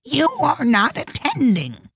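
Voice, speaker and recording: A woman voicing a touchy, severe storybook mouse with a sharp, scolding, drawn-out delivery. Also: on the high side, big pitch swings, slightly rough, dry recording, clean studio sound, voice pushed up, full character voice.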